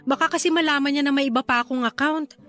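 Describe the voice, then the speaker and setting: A woman's voice: sits high, fairly even intonation, quick pace, a bit bright, soft background music. An actress playing a young woman who is a coy, restless secret lover in a Tagalog radio drama. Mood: worried, hesitant.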